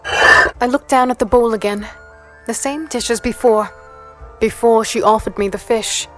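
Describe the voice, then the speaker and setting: An earnest female storyteller narrates dark fantasy in a fairly high voice. Her delivery is slow, deliberate and scripted, with soft music behind. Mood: uneasy. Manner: measured, deliberate.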